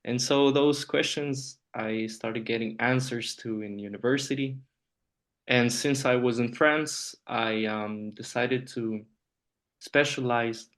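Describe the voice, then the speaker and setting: A man's voice: on the low side, fairly bright tone. A man from Honduras, a native Spanish speaker, answering conversationally as an interview guest. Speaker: podcast guest, nuclear trainer.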